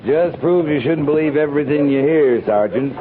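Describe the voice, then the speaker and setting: An American actor playing a gruff, world-weary cavalry captain in a 1950s radio western. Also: male voice, on the high side, normal speed, natural voice. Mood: wry, weary. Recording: tinny, noisy old radio recording.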